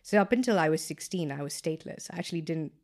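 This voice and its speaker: A woman with a notably low, deep voice recounts her upbringing in a relaxed interview. She is chatty and animated, with frequent "like" fillers and quick asides. She comes from Kenya and speaks with easy, open warmth of memory. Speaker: guest entrepreneur. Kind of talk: casual interview storytelling.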